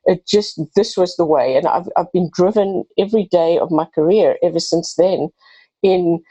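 A woman's voice: on the low side, moderate pace, dark tone, slightly rough, no room sound, rough recording. A woman in her fifties with a South African accent, a pharmacist answering interview questions earnestly and with passion. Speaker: pharmacist and podcast host.

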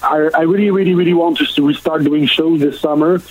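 Man, Quebec French accent, native French speaker, middle-aged, halting, lots of fillers, guest answering questions. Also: a little high, steady tone, slightly rough, poor audio. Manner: halting, many fillers and restarts. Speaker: country singer, guest. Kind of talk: interview answers.

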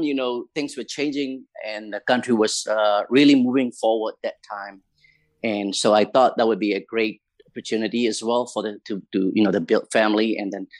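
A man from Myanmar with a Burmese accent, recounting life and history as an interview guest in halting, conversational English phrasing. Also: lowish voice, no room sound. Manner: hesitant, halting non-native English phrasing. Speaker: interview guest, community leader.

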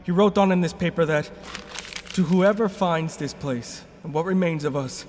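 A man's voice: on the high side, normal speed, natural voice. An actor playing a guarded, cautious male rebel in a sci-fi radio drama, serious, clipped and commanding. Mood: somber. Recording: loud music under the dialogue. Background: loud music.

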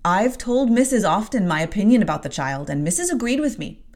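A woman's voice: medium pitch, big pitch swings, fairly quick, darkish tone, pitched above the performer's own voice, natural voice. A woman reading aloud and voicing a harsh, self-righteous lady's maid who berates a girl. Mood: disapproving. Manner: harsh, disapproving.

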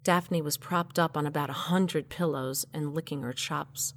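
A woman's voice: low-pitched, steady tone, moderate pace. An actress playing a wry heroine who narrates her adventure in the first person in an audio drama. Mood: matter-of-fact.

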